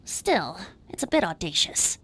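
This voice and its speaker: A woman's voice: fairly low pitch, very animated, a little slow, fairly bright tone, slightly rough, heavily acted. A woman voicing a playful young male bard in a video game, with flowery, theatrical phrasing. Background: faint music.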